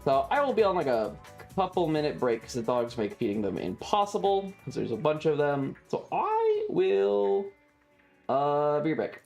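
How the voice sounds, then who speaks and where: A livestreamer chatting casually while gaming, rambling and halting, with a wry, sarcastic manner. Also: medium pitch, very animated, creaky, darkish tone. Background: music.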